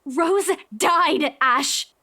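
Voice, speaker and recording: A woman's voice: sits high, extremely slow, bright, forceful tone, full character voice. An actress playing a desperate, stubborn young woman in a visual novel, speaking with urgent, pleading insistence. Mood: urgent, upset.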